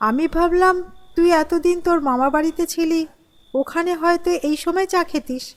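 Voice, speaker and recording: A woman voicing an anxious, insistent, protective mother in an animated horror story. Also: very high-pitched, normal speed. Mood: coaxing.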